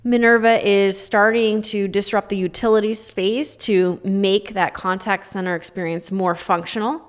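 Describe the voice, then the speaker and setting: A woman hosting a podcast interview, speaking in an upbeat, eager way. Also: on the low side. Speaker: podcast host.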